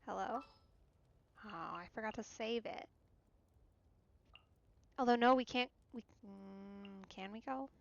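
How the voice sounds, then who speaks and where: Unhurried, slightly creaky woman game streamer. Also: highs cut off. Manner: low-key, musing, thinking aloud. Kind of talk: casual live game commentary. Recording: game music behind the voice. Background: video game music.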